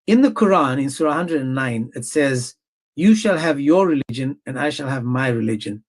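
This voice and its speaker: A man's voice: medium pitch, lively intonation, normal speed. A man hosting a live online debate, pressing his point insistently and repeating himself as he talks over his guest.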